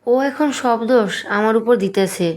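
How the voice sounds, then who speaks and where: A woman's voice: fairly high pitch, moderate pace, darkish tone, close to an everyday voice. A woman voicing a bossy, scheming, argumentative young village girl in a cartoon. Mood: defensive. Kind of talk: cartoon voice acting.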